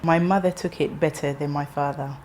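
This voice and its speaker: A woman in her thirties, raised in England, telling her life story in an interview in a measured, reflective way with frequent pauses and restarts. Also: low-pitched, steady tone, a little mellow, a little hiss or hum. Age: 30s.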